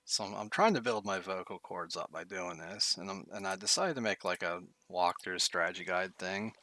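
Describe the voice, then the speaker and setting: A man's voice: low voice, moderate pace, sharp tone, no echo. A man streaming a game tutorial from his bedroom, thinking aloud with many fillers, his voice getting tired.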